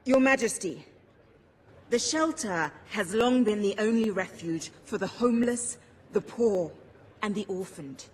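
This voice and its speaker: An actress voicing an earnest, principled champion of the poor in a video game, formal, impassioned and pleading. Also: female voice, mid-range voice, animated, unhurried, a little hiss or hum, close to an everyday voice. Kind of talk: scripted video game dialogue.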